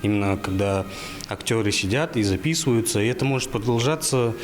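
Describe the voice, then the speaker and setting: A man's voice: lowish voice, fairly quick, faint music behind. A young man, a sound engineer, speaking off the cuff in an interview. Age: young adult. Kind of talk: unscripted interview answer. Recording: noisy location interview.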